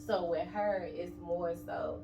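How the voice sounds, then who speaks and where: A woman's voice: fairly low pitch, steady tone, takes it slowly, vocal fry, distant mic, dull audio. Guest woman, thirties, candid and slangy; roomy, noisy, off-mic sound. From Florida. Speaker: guest rapper. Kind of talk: casual podcast interview.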